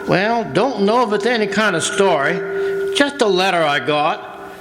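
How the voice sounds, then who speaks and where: A man's voice: sits high, animated, a little slow, noisy background. A man playing a modest older country postmaster in a radio drama, diffident, with clipped folksy phrasing. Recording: echoey hall stage recording.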